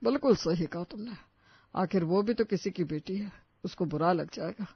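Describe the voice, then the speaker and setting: A woman's voice: lowish voice, normal speed, breathy edge, no echo, lo-fi sound. A woman as a considerate older father-in-law. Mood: earnest.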